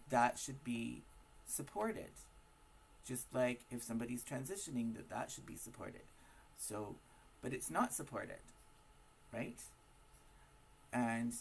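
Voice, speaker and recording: A hoarse man with a raspy voice, giving a casual, emphatic opinion monologue to camera full of rhetorical questions. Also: mid-range voice, a little hiss or hum, low-quality recording. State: hoarse from smoking and partying. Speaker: vlogger.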